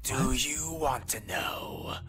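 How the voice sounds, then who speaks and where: A cold, calculating inquisitor, acted by a man for a sci-fi audio story. He speaks in an ominous, breathy whisper at a slow, deliberate pace. Music plays clearly behind him. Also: mid-range voice, lively intonation. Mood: quietly menacing. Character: menacing, philosophical male inquisitor, cold and calculating. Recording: voice over prominent music.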